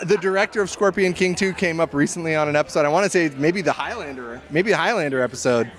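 A man's voice: on the high side, fast, a bit bright. A man co-hosting a podcast, chatting casually about movies in a jokey, mildly profane way. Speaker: podcast co-host.

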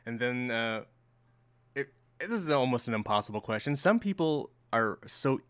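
A man's voice: mid-range voice, sing-song, moderate pace, fairly bright tone, clean tone, dry recording. A man hosting a casual video game podcast, asking friendly, rambling questions with plenty of fillers. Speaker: podcast host.

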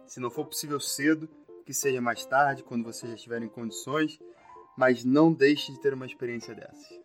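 A man's voice: medium pitch, steady tone, brisk, soft background music. A man hosting a casual podcast, introducing the episode and asking easygoing questions with short acknowledgements. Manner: friendly, brief acknowledgements. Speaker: podcast host.

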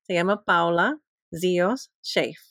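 A woman hosting a podcast interview, opening with a scripted introduction and asking questions in a slow, deliberate way. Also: lowish voice, a bit bright, no room sound, studio quality. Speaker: podcast host.

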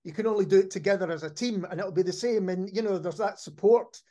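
A man's voice: high-pitched, fast talker, dark tone. A man hosting a casual podcast interview in a reflective, agreeable way. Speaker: podcast host.

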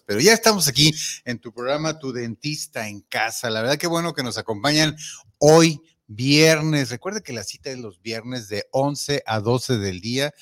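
Un hombre con acento mexicano que conduce un programa de radio sobre salud dental. Habla de forma suelta y conversada, con tono medio, y deja frases sin terminar antes de retomarlas. A menudo se dirige directamente al oyente con preguntas. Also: male voice, medium pitch. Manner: chatty, rambling, many restarts and asides to the listener. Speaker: dentist radio host. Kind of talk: casual radio talk.

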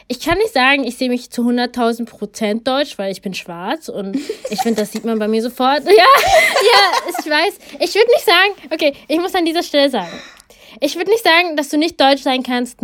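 A woman's voice: a little high, a bit bright. Thoughtful young woman, casual chat. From Germany. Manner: thoughtful, hedging, with some English phrases.

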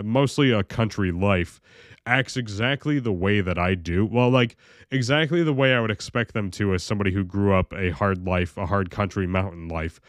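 Young American man, very low voice, loose rambling delivery, dry recording. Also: no room sound. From United States. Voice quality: very deep voice. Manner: rambling, lots of fillers and restarts, swears casually. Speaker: video creator. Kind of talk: casual monologue vlog.

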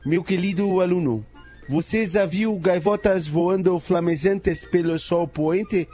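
Guy doing wise patient kung fu master for a radio joke, slow solemn flowery talk. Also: high-pitched, mellow, faint music behind.

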